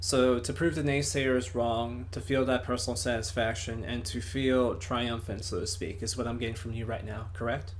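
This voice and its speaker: Wordy, animated male interviewer. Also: mid-range voice, moderate pace, hardly any pauses, slight background noise. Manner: wordy, animated, swears freely. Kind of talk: casual online interview.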